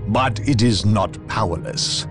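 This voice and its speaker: An actor in a video game playing a grave, haughty inquisitor, speaking in a portentous, theatrical way. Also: male voice, fairly low pitch, a little slow, music in the background. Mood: grave, ominous. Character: grave, haughty inquisitor, a zealous hunter of evil. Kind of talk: dramatic video game cutscene acting.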